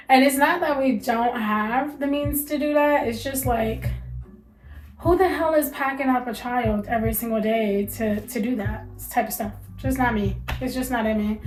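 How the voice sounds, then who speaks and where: A woman's voice: sits high, unhurried, roomy sound, faint music behind, low-quality recording. A young woman, a mother, talking candidly to camera in a casual chat, sounding stuffy and congested from allergies, with lots of fillers. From United States. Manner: chatty, candid, many fillers. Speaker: vlogger, mother.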